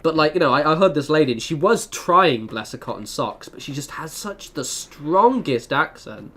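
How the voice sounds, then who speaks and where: A man's voice: a little high, moderate pace. A young man chatting casually as he streams a game, telling rambling stories and often interrupting himself. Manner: rambling, animated storytelling with self-interruptions. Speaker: game livestreamer. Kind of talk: casual livestream chat over gameplay.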